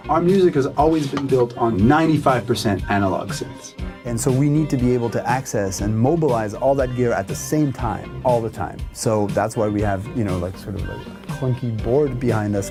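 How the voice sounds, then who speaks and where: A man in his thirties, a musician, talking casually about his studio and gear. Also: on the low side. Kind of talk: casual chat and to-camera talk. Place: recording studio, indoors. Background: music playing.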